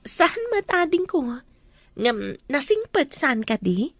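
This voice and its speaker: A woman playing a gentle, humble young big sister from a poor family in a radio drama. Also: sits high, big pitch swings, slow, heavily acted. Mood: humble, tender.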